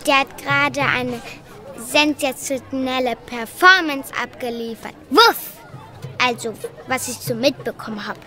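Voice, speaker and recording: A woman's voice: high voice, unhurried, long pauses, pushed and bright. A woman voicing a busy dog carrying stage props in a children's radio play. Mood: gossipy.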